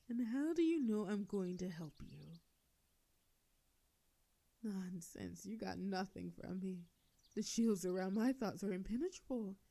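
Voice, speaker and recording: Woman performing a villainess, telepathic, proud, sardonic, secretly soft, theatrical audio drama delivery, medium pitch, dry intimate sound. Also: no room sound. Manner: theatrical, indignant then soothing. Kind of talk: scripted roleplay audio drama monologue.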